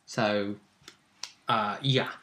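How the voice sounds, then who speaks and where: A man's voice: on the low side, fairly even intonation, extremely slow, bright, forceful tone. A man chatting casually as a game master, recapping a tabletop role-playing session and acting out bits of dialogue as he goes. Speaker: game master and video host.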